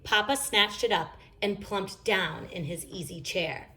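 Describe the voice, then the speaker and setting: A woman's voice: medium pitch, unhurried, sharp tone. A woman reading a children's storybook aloud expressively, with dramatic emphasis. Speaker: story reader.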